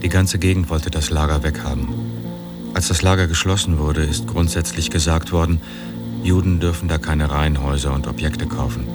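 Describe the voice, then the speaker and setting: An older man, a former camp resident, calmly recalling the past in a documentary interview. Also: extremely low pitch, moderate pace. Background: loud music bed.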